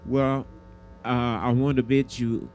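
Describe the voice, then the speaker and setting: A man interviewing a musician for radio, asking unhurried questions with pauses and fillers. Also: medium pitch, level tone, a little mellow, slight background noise.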